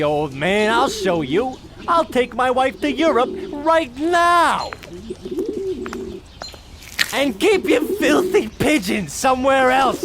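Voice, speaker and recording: A man's voice: sits high, noisy background, full character voice. A man playing a touchy, clueless, proud husband in a comic radio play, with an indignant, bombastic delivery. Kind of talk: comic old-time radio play acting.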